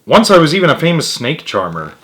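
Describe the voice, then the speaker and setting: A man voicing a boastful, easily startled male animal friend from a storybook, excitable and exclamatory. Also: a little high, fast talker, voice pushed up.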